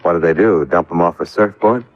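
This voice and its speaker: An American actor playing a sardonic, hard-boiled insurance investigator in the dry, clipped style of 1950s radio detective drama. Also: male voice, sits low, a little fast. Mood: sardonic, probing. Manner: dry, sarcastic, clipped questioning. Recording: muffled vintage radio recording.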